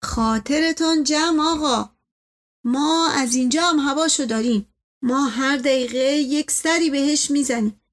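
A woman voicing a placating, folksy male servant in an audiobook story, with colloquial, deferential, drawn-out phrasing. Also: high-pitched, natural voice. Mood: reassuring, coaxing.

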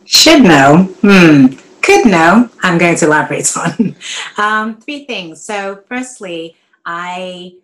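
A woman whose accent blends Ghanaian, British and American sounds talks casually as a podcast guest. Her voice sits low, and she fills her pauses with frequent ums. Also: animated, rough recording. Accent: mixed Ghanaian, British and American.

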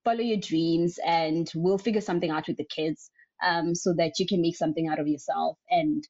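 A woman's voice: lowish voice, fairly even intonation, fairly quick, a little mellow. A young woman from South Africa, a guest answering interview questions casually, rambling with many ums. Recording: dull lo-fi sound.

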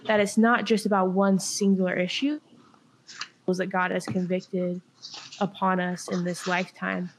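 A woman's voice: fairly low pitch, little pitch movement, unhurried, a little hiss or hum, low-quality recording. Earnest woman guest from China. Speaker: podcast guest, workshop leader. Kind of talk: podcast discussion.

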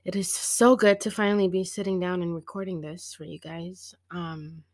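A woman in her twenties, slightly hoarse after an illness, hosting her own podcast in a chatty, slangy way with many fillers and small laughs. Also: lowish voice, fairly even intonation, moderate pace, darkish tone. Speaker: podcast host. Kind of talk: casual solo podcast chat.

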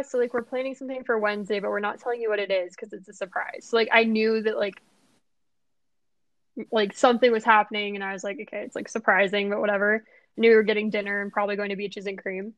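A woman's voice: medium pitch, a bit bright, no room sound, muffled recording. A young woman co-hosting a podcast, telling a story in a chatty way with lots of "like". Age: young adult. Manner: chatty, says "like" a lot. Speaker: podcast co-host. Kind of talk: casual chat, storytelling.

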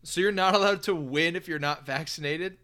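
A man's voice: fairly high pitch, moderate pace, sharp tone. A man co-hosting a podcast, exasperated and incredulous in casual chat. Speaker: podcast co-host.

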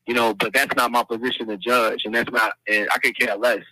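A man in his forties, a podcast guest, speaking in a measured, earnest way with religious turns of phrase. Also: medium pitch, little pitch movement, bright, forceful tone, no room sound, rough recording. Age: 40s. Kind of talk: casual podcast chat.

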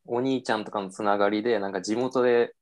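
A man's voice: on the low side, steady tone, quick pace. A young man casually telling a self-mocking story on a podcast, with lots of fillers.

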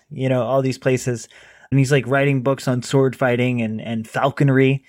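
A man's voice: mid-range voice, dry recording. Chatty male podcast host, dry close mic. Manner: chatty, lots of fillers and restarts. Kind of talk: casual book chat.

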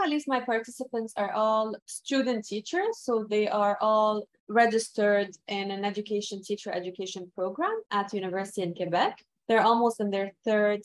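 A young woman from Lebanon whose first language is Arabic, giving thoughtful, self-correcting answers as a guest researcher in an interview. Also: medium pitch. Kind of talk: academic interview.

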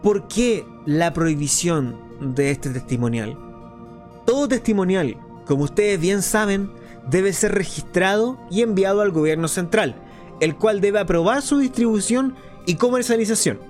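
Man playing theatrical, high-pitched clandestine radio host. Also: animated, unhurried, a little mellow, faint music behind. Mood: urgent, conspiratorial. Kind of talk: theatrical radio drama monologue.

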